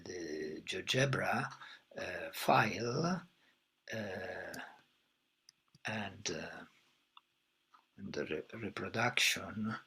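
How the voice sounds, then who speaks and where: A non-native English-speaking man gives a halting academic talk. His low voice is very husky and gravelly. He goes slowly, often searching for the next word. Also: pushed and bright, rough recording. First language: not English. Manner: hesitant, searching for words. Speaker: academic presenter, teacher.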